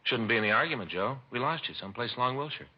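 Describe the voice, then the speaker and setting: An American actor playing an easygoing police detective in a 1950s radio drama, casual and matter-of-fact. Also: male voice, mid-range voice, animated, very fast, breathy edge. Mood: casual, puzzled. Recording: muffled 1950s radio recording.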